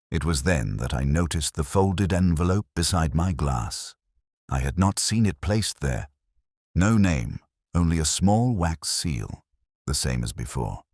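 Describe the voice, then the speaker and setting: An actor playing a melancholy, intellectual English detective who narrates his case in measured, literary first person, as in a radio mystery. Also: rumbling low, no echo, clean studio sound, full character voice. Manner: measured, brooding, ornate phrasing.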